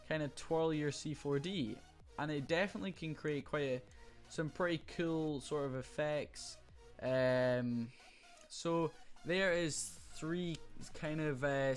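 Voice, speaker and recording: A young man with a slightly hoarse voice, casually talking through a screen-recorded graphics tutorial with many fillers. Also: on the high side. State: slightly hoarse, losing his voice.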